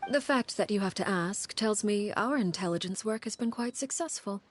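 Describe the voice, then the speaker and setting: A woman playing a cold, poised, secretive leader in a video game, speaking in a measured, guarded, formal way. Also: medium pitch, expressive, dry recording, natural voice. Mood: cool, evasive. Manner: measured, guarded, faintly menacing formal speech. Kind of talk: video game story dialogue.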